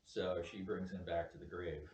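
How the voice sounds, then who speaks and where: A man with a fairly low voice leads a table read, explaining the script and reading stage directions. He is recorded at a distance from the mic, with plenty of room echo. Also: fairly even intonation, normal speed. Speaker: filmmaker leading a table read. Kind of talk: casual project briefing, then reading screen directions aloud. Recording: echoey room, distant mic, loud noise.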